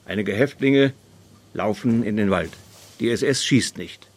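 Male reader, lowish and husky, terse report style, matter-of-fact throughout. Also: a little slow. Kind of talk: scripted terse diary-like report.

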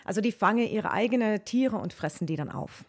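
Dutch-accented woman explaining, medium pitch. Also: expressive, a little fast. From Netherlands. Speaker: zoologist, mammal expert. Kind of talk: explanatory interview answers.

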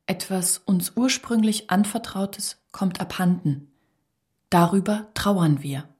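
A woman reading a scripted literary text in a measured, formal way. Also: medium pitch, expressive, a little mellow. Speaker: reader of a literary radio text.

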